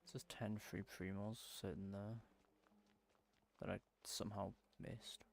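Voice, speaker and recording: A man's voice: fairly low pitch, little pitch movement, a little slow, vocal fry, a little hiss or hum. A man giving casual gameplay commentary, muttering at himself in exasperation. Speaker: gameplay streamer.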